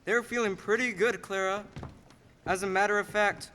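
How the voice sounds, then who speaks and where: A man acting a warm-hearted storyteller boss in a radio play, telling a life story emphatically. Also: high voice, steady tone, normal speed, slight background noise. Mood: fond. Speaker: student actor. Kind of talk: old-fashioned radio play narration.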